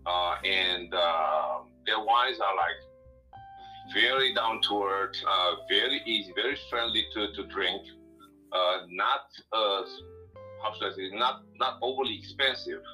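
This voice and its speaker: Male interview guest, middle-aged, Romanian-accented English, deep but sharply bright tone, hesitant phrasing. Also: a little slow, soft background music, low-quality recording. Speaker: guest, wine importer. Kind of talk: explaining in an interview.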